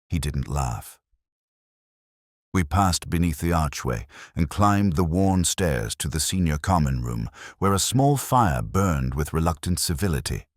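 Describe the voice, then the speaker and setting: A man playing a brooding, erudite detective inspector, narrating his case in a measured, literary and wry manner. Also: extremely low pitch, no echo, clean studio sound, heavily acted. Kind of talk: scripted first-person mystery narration.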